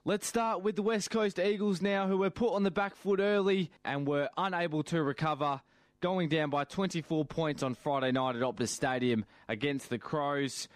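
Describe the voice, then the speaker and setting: A man's voice: fairly high pitch, steady tone, normal speed, no echo, studio quality. A man hosting a sports radio show, reading scripted links. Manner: smooth, scripted link delivery.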